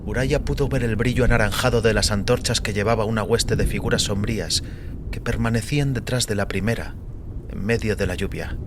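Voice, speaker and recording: Low-voiced man narrating dark fiction. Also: brisk, background noise. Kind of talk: scripted dramatic fiction narration.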